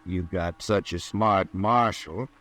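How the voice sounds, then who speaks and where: An actor playing a crooked, defiant cowboy with a sarcastic manner and an American Western accent in a radio drama. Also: male voice, low-pitched, takes it slowly, a bit bright. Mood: defiant, sneering. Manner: challenging, sarcastic. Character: smug, crooked, defiant cattle-selling cowboy. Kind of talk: old-time Western radio drama acting. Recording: vintage radio broadcast recording.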